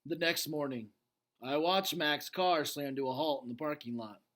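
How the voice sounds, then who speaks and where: A man reading a children's book aloud with expressive narration and casual asides. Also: on the high side, normal speed, slight background noise. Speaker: storybook reader.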